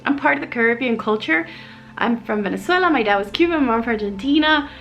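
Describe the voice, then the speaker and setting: A woman's voice: a little high, expressive, fast, fairly bright tone, dull audio, soft background music. A young adult woman from Miami, chatting candidly and reflectively in a casual personal vlog, with fillers and restarts. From Miami, USA. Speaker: vlogger, single mom living in Mexico.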